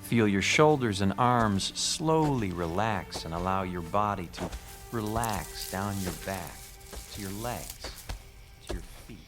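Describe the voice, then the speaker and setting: An actor playing a soothing, courteous hypnotist and cult-like leader, coaxing in formal, measured phrases in an audio drama. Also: male voice, deep voice, animated, over music. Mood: hypnotic.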